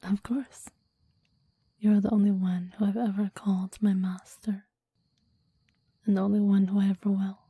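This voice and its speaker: Woman as a softly whispering, possessive demon. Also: medium pitch, flat delivery, normal speed, long pauses, no room sound. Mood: reverent, menacing. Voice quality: breathy whisper, soft. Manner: formal, archaic, reverent phrasing. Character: clingy, possessive, deadly demon devoted to a master. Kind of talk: ASMR roleplay, mostly whispered.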